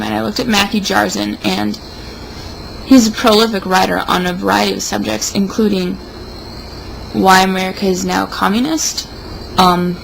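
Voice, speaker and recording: A woman's voice: medium pitch, creaky, poor audio. A young woman in a casual, rambling monologue, sounding tipsy and sardonic. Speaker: vlogger. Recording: noticeable background noise.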